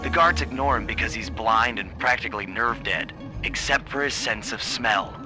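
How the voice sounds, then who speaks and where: A man's voice: fairly low pitch, normal speed, music in the background, close to an everyday voice, low-quality recording. A man voicing a cool, wry, laid-back cartoon animal thief hero in a video game, casual and confident. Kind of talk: video game cutscene voice acting.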